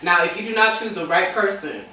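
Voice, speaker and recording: A bright-voiced American woman hosts a casual interview. She talks in slang with a playful, teasing edge. The room is very echoey and the mic sits at a distance. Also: fairly low pitch, a little fast, slight background noise.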